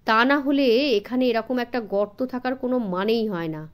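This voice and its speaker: Confident male sleuth for a children's audio story, instructive, higher register played by a woman. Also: moderate pace, natural voice. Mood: grave, deliberate. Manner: instructive, assured. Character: clever male detective, confident elder brother explaining deductions.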